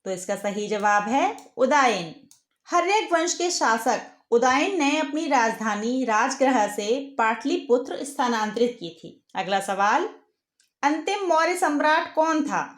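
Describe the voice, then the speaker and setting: A woman's voice: sits high, lively intonation, measured pace, a bit bright. A woman reading a scripted educational quiz in an instructional, question-and-answer manner. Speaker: quiz presenter. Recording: roomy, echoing voice-over.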